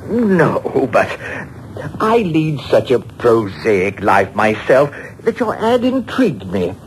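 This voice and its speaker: Shy fussy old man, stammery, pitch bounces all over, faint hiss under it. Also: wildly swooping pitch, takes it slowly, rough recording. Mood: nervous. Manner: hesitant, stammering, overly polite. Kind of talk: 1940s radio acting.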